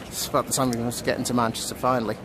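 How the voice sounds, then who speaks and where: A man gives an unscripted on-location news report, speaking haltingly and repeating words as he goes. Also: medium pitch, flat delivery. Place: outdoors in a busy city square. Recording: outdoor city crowd and traffic noise. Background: crowd and traffic.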